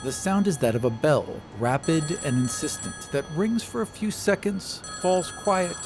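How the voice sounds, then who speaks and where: A man's voice: a little high, expressive, moderate pace. A man working as game master, narrating a tabletop roleplaying game in a conversational, casual way. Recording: music behind the voice. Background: music.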